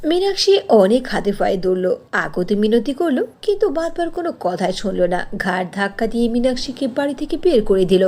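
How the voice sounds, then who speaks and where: A woman's voice: fairly high pitch, very animated, brisk, few pauses, warm tone. A woman narrating a scripted cartoon story in a storybook style.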